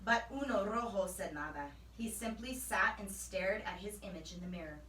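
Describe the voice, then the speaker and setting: A woman reading a children's story aloud as a teacher, with expressive, animated narration. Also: medium pitch, normal speed, a little hiss or hum. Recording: echoey room, distant microphone.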